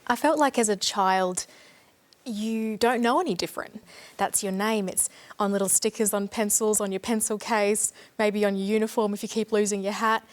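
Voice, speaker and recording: A young adult woman speaks as a guest on a studio discussion show. Her voice is mid-pitched, and she talks in an earnest, reflective way. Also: fairly even intonation, moderate pace. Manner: earnest, reflective, speaking softly at hard points.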